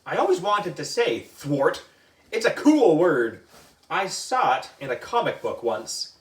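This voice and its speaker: A man acts out a cocky storybook schoolboy with a dramatic, teasing delivery. The pitch swings around with big emphasis, and the sound is roomy and a bit distant. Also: sing-song, unhurried. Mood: eager scheming. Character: schoolboy spinning wild theories, cocky and dramatic. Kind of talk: storybook character acting. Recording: roomy echo, distant microphone.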